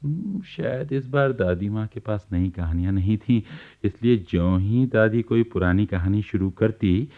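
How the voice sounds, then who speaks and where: A man's voice: on the low side, expressive, moderate pace, few pauses. A man giving scripted storytelling narration in a radio play. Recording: thin phone-like archival radio recording.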